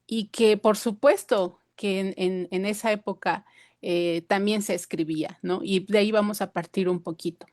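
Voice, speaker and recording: A woman's voice: medium pitch, steady tone, moderate pace, darkish tone, no echo. Hesitant woman speaker, dry sound. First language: Purépecha. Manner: hesitant, many fillers and restarts. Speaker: invited speaker. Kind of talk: conference talk.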